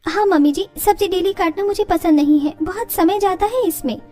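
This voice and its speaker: A woman's voice: extremely high pitch, brisk, dark tone, pitched above the performer's own voice. A woman voicing a young daughter-in-law in a family story, earnest and defensive, pleading as she talks. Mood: confessing.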